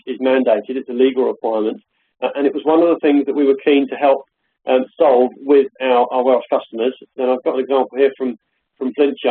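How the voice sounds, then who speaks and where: A husky man walks through a software demo, often pausing and restarting. His voice comes over tinny, phone-like call audio from a home office. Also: medium pitch. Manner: hesitant, filler-heavy explaining. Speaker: software product demonstrator. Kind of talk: live webinar product demo.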